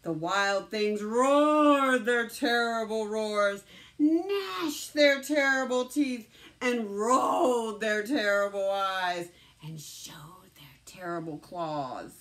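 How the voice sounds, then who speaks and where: Female storybook reader, medium pitch, slow delivery, expressive and dramatic with hushed, drawn-out phrasing. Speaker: teacher reading a story aloud. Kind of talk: expressive children's storybook narration.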